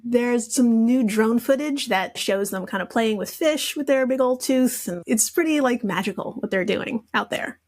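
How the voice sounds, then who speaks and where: Woman, higher-pitched voice, playful and sweary, running a casual comedy quiz on a podcast. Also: normal speed, hardly any pauses, a little mellow. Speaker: podcast guest posing quiz questions.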